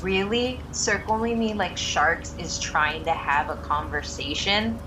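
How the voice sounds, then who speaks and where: A woman's voice: on the low side, takes it slowly. An actress playing a tough, defiant young woman in an audio drama, sarcastic and scornful. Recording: noisy outdoor street ambience behind.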